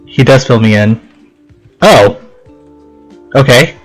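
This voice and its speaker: Chatty young man over clear game music. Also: mid-range voice, slow. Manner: chatty, rambling asides. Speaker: let's play commentator. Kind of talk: casual gaming commentary, reading on-screen text aloud.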